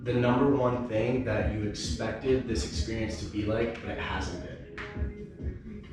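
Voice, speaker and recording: A young man hosts a relaxed chat, talking loosely with plenty of fillers. He sounds distant from the mic in a very echoey room. Music plays behind him. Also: mid-range voice, takes it slowly. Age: young adult. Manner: laid-back, filler-heavy, joking. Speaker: host. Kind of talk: casual chat.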